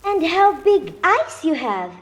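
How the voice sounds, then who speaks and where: A woman's voice: extremely high pitch, big pitch swings, takes it slowly, heavily acted. A woman acting a sweet, trusting, polite little girl in a children's radio drama. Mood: wondering.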